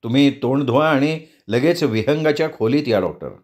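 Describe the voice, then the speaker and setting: A man voicing a composed detective who speaks low and measured. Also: a little mellow, natural voice. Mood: calm. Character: calm male detective, steady and firm.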